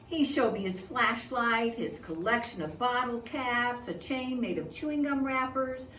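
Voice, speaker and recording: A woman reading a children's picture book aloud in an expressive storytelling style, in the manner of a preschool teacher. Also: a little high, unhurried. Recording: echoey room, distant mic, faint noise.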